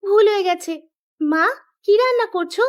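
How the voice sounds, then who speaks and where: A woman's voice: very high voice, normal speed, a little mellow. A woman voicing a cheeky, sweet young village woman in a cartoon, perky and playful.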